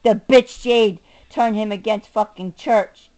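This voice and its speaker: A woman's voice: mid-range voice, fairly even intonation, takes it slowly, mellow. A middle-aged woman in an angry, combative personal rant to camera, swearing and stressing her words. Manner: combative, profane, emphatic, swinging between muttering and raised voice. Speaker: self-recording vlogger.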